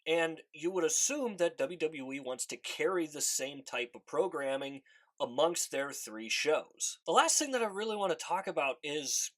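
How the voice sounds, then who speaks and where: A man's voice: on the high side, a bit bright. Congested man speculating in a solo video. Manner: speculative, conversational, repeats "I think" and "again". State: congested from allergies. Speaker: wrestling commentary YouTuber.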